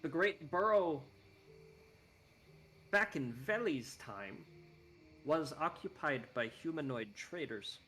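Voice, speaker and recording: A man's voice: a little high, lively intonation, slow, pauses often, pushed and bright, a little hiss or hum, heavily acted. A man voicing a courteous, scholarly male desert guide in a put-on Icelandic accent, speaking formally and explaining at length.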